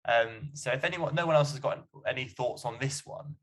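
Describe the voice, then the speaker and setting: A man's voice: medium pitch, moderate pace, fairly bright tone, slight background noise. A man hosting a student question-and-answer panel in a conversational, encouraging way. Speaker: panel host.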